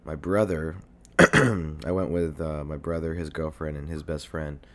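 Sick young man, very deep hoarse voice. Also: steady tone, a little slow, a bit bright. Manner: rambling, swears often, many fillers. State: sick, congested and coughing. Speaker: podcast host. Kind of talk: casual solo podcast monologue. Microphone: desk mic near a computer.

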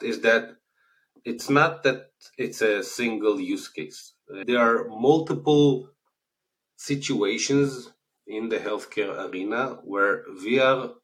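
A man's voice: fairly low pitch, fairly bright tone, low-quality recording. Israeli-accented man hosting a podcast. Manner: earnest, explanatory, frequent "uh" hesitations. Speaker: podcast host. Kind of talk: casual solo podcast talk.

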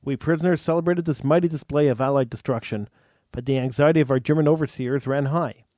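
A man, the host, reading a true story aloud in an earnest, measured way, with an occasional stumble over words. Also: medium pitch, a little mellow, dry recording, studio quality. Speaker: radio host.

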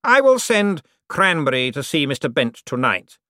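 A man voicing a vain, scheming rich young man who talks in a smooth, self-satisfied, dreamy way. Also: on the high side, very animated, moderate pace, bright, forceful tone, no room sound, studio quality, pitched above the performer's own voice.

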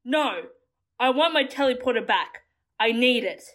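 A woman's voice: fairly high pitch, lively intonation, unhurried, a bit bright. A woman playing a stubborn, hot-headed young woman with powers in an audio drama. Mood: indignant.

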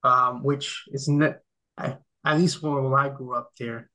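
A middle-aged man from the Dominican Republic, a game critic answering interview questions in relaxed, thoughtful chat with many fillers and restarts. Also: mid-range voice, slow. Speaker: podcast guest, game critic. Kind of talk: casual conversational interview.

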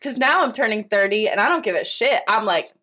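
A woman's voice: mid-range voice, expressive, a little fast, bright, forceful tone. A woman around thirty co-hosting a podcast, laid-back and joking, laughing easily. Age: 30s. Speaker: podcast co-host. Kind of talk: casual chat.

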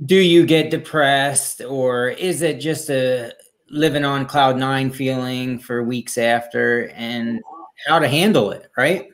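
Man, mid-pitched voice, easygoing, rambling, self-deprecating, casual podcast talk. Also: slow pace. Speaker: podcast co-host. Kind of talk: casual chat.

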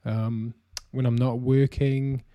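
A young adult man with a New Zealand accent, co-hosting a casual podcast chat in a relaxed way, filling pauses with "um" and laughing easily. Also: mid-range voice, no echo, lo-fi sound. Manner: relaxed, many "um" fillers, easy laughter. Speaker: podcast co-host.

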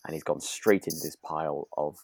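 A man's voice: rumbling low, fairly even intonation, a little fast, darkish tone, breathy edge, no room sound. A British man chatting as a podcast co-host, hesitant and often restarting his sentences. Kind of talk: relaxed chat discussing a radio drama.

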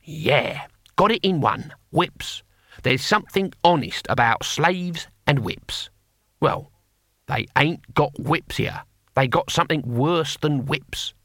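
A man voicing a scrawny old barbarian warrior in an audiobook, gruff, sarcastic and cackling, with rough colloquial speech. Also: fairly high pitch, expressive, slow pace, fairly bright tone, dry recording, clean studio sound, heavily acted. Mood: grim, knowing. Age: older. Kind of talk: audiobook character voice.

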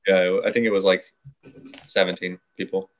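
Laid-back young man, low voice. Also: flat delivery, normal speed, dull audio. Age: young adult. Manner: laid-back, playful boasting. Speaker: guest musician. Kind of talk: casual podcast chat.

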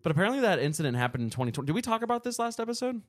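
A man's voice: sits high, very animated, rapid-fire, no room sound, studio quality. A man co-hosting a casual podcast, rambling and laughing between restarts. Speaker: podcast co-host. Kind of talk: casual chat.

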